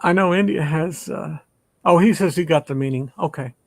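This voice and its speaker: A retired older pastor hosting a casual online chat, rambling with many fillers and restarts. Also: male voice, fairly high pitch, moderate pace, creaky, dry recording.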